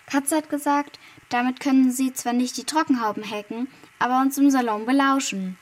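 A woman's voice: sits high, steady tone, moderate pace. A woman playing an earnest, plucky but unsure young girl in a children's radio play. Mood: eager.

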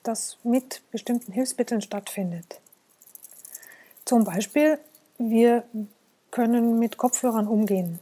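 A woman with a slightly high, very mellow voice gives an explanatory interview. She works through her points with examples and questions she poses herself.

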